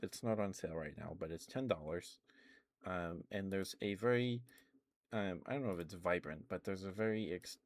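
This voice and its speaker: A young man with a very deep, low voice talks quietly for an ASMR stream. He meanders through his thoughts with frequent ums, restarts and small chuckles. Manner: meandering, many fillers and restarts, chuckles often. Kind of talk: soft-spoken ASMR rambling.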